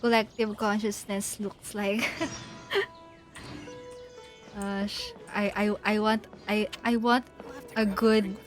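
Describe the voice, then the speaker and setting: A woman streaming a game with casual, giggly, halting commentary that often repeats words. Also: medium pitch, level tone, faint music behind.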